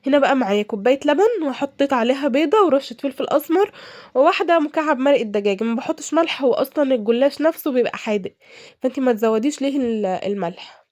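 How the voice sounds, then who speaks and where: Casting: young Egyptian woman cooking vlogger, stuffy from a cold, brisk and chatty, dry close sound. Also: sits high, lively intonation, darkish tone, no room sound. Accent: Egyptian Arabic. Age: young adult. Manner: chatty, many fillers and restarts. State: congested from a cold. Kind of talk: casual advice while cooking.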